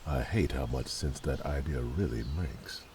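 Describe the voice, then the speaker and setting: A man playing a dry, weary royal knight and protector in a fantasy audio drama, with a gravelly voice. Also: a bass voice, unhurried, a little mellow, a little hiss or hum. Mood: dry exasperation.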